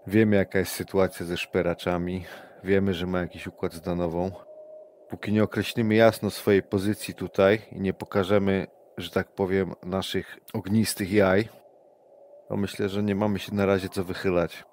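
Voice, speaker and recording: A man with a very low voice plays a scheming character in an online tabletop game, rambling and looking for agreement as he goes. A faint hiss sits under the session audio. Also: level tone, moderate pace, darkish tone. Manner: rambling, conspiratorial, peppered with "wiesz" and "nie?". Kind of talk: in-character roleplay, casual and scheming.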